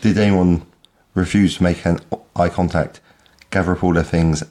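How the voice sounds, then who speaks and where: A man's voice: deep voice, fairly even intonation, moderate pace, darkish tone. A British man co-hosting a podcast, chatting casually with a droll, slightly mock-formal turn of phrase. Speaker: podcast host. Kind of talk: casual conversational chat.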